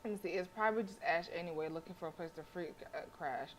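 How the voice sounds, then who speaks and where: A woman's voice: on the low side, quick pace, slight background noise. A woman livestreamer reading a visual novel aloud dramatically, with casual asides and stumbles she corrects as she goes.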